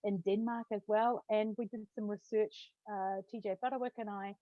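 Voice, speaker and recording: A woman's voice: mid-range voice, fairly even intonation, moderate pace, no echo. Woman teaching from home, chatty, lots of restarts, muffled dry audio. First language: not Hungarian; Hungarian is her second language. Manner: conversational, tag questions, frequent restarts. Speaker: lecturer. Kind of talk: academic conference talk. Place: home study with bookshelf. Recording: dull, dry lo-fi sound.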